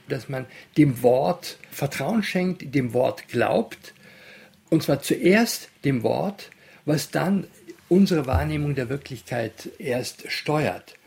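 A man's voice: on the high side, creaky, a little mellow, slightly rough. A man giving an unscripted, explanatory interview answer as a media scholar, phrase by phrase.

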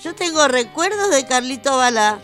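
High-pitched Argentine woman over soft music. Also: expressive, moderate pace. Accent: Rioplatense Argentine Spanish. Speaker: radio co-host. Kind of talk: playful radio sketch banter.

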